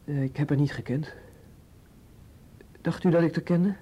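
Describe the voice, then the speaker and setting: A man's voice: a little high, fairly even intonation, normal speed, mellow, slight background noise, lightly acted. A young man acting in a radio drama as a frightened, studious boy under police questioning, hesitant, stammering and pleading. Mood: nervous denial. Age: young adult. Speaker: police suspect.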